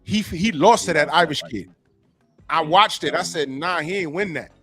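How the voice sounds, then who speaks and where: A man hosting a podcast in a casual, animated and profane way, often acting out quotes as he tells a story. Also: fairly high pitch, moderate pace, breathy edge, faint music behind. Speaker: podcast host.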